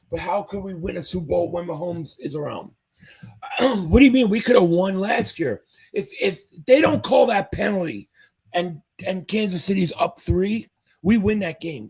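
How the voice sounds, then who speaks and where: Man, livestream host, gravelly and hoarse from losing his voice, upbeat and chatty. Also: sits high, a little mellow, low-quality recording. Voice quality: husky, fading voice. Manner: enthusiastic fan reading chat comments aloud. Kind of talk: casual live-chat commentary.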